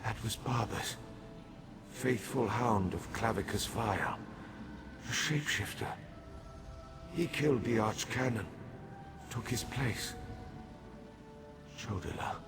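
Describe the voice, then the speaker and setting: A man playing a weakened living god in a fantasy video game, solemn, weary and labored, with a gravelly voice. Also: lowish voice, exaggerated voice. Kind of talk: video game dramatic acting.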